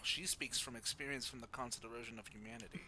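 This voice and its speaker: A man streaming a game, giving casual, animated commentary in reaction to the story. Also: medium pitch, quick pace, slight background noise.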